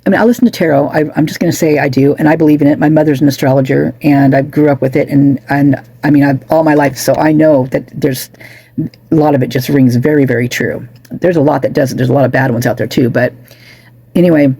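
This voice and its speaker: Woman losing her voice, gravelly and husky, mellow tone, rambling chatty commentary. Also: low voice, steady tone, brisk, dry recording. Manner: chatty, many restarts and asides. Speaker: true crime commentator.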